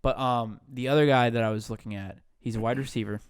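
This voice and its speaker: A man's voice: medium pitch, fairly even intonation, fast, clean tone, dry recording, studio quality. A man co-hosting a casual fantasy football podcast, thinking aloud, hedging and backtracking as he talks. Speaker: podcast co-host. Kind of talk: casual sports chat.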